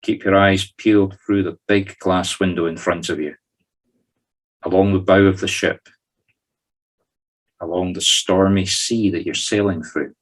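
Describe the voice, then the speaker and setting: A man's voice: low-pitched, level tone, measured pace, long pauses. A Scottish man leading a guided meditation, talking listeners through each step in an informal, conversational way. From Scotland. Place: recorded in a group video call.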